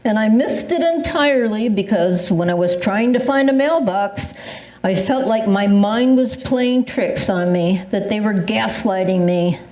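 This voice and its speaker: A woman's voice: medium pitch, a little slow, darkish tone, natural voice. An older woman playing an exasperated, comically paranoid senior in an amateur readers theatre comedy, complaining wryly and theatrically. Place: indoor live readers theatre performance. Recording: roomy, echoing live stage pickup.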